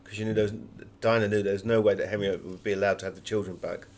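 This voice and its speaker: A man's voice: on the low side, little pitch movement, racing, a little mellow. A man giving conversational, anecdotal answers as an author being interviewed.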